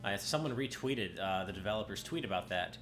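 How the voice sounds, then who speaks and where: A man's voice: lowish voice, animated, a little fast, a bit bright. Slightly nasal man streaming a game. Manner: chatty, rambling, often breaks off mid-sentence. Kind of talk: casual live-stream gameplay commentary. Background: game music.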